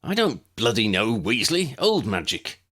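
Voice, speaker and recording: A man's voice: mid-range voice, big pitch swings, unhurried, fairly bright tone, voice pushed up. A man voicing a proud, sardonic young male wizard in an audiobook, dry and clipped.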